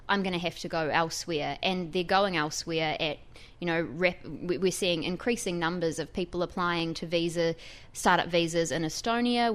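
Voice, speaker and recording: A woman with a New Zealand accent, a tech reporter explaining her story as a guest in a radio interview, fluent and lively. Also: fairly low pitch.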